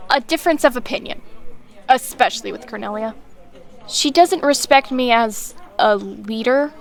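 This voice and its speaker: A woman plays a teenage schoolgirl heroine in a fan-dubbed comic. Her high voice is youthful and earnest, with a hesitant, troubled sincerity. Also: moderate pace, vocal fry. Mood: troubled, defensive. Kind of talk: fan-dub comic voice acting.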